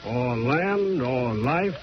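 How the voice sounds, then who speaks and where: A man giving a formal 1940s radio introduction as announcer, earnest and measured. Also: medium pitch, animated. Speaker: announcer and chairman. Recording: muffled 1940s radio recording. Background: music.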